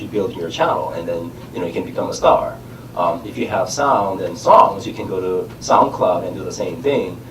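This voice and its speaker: Man, Korean accent, middle-aged, cold, halting with fillers, low-ish voice, echoey room. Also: far from the mic, a little hiss or hum. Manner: halting, many "you know" fillers. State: has a cold, tongue numbed by lozenge. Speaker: startup founder. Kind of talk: casual onstage chat. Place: conference stage in a large room.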